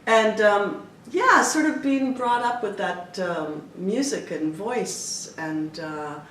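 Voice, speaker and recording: A woman's voice: medium pitch, slow, distant mic. A woman from Canada whose first language is Italian, a dancer and choreographer talking expressively in an interview, often restarting her sentences. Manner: expressive, animated, many restarts and fillers. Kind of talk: reflective interview talk. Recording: echoey room, speaker away from mic, faint noise.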